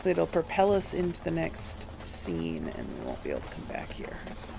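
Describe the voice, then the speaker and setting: A woman's voice: sits low, huge pitch swings, normal speed, creaky, noisy background. A woman giving casual commentary as she plays through a video game as host. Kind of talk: casual let's play commentary.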